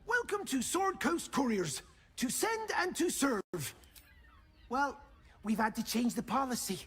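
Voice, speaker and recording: Game role: shifty British postmaster, high voice, lilting grumbles over music. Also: male voice, big pitch swings, measured pace, fairly bright tone, slightly rough, exaggerated voice. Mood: harried, grumbling. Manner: grumbling, colloquial. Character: harried, chatty, shifty postmaster. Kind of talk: video game character acting.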